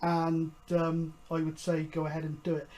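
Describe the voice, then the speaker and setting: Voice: medium pitch, monotone, moderate pace, darkish tone. A host explaining things casually, in a hesitant, rambling, matter-of-fact way. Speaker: host of a hobby CB radio show.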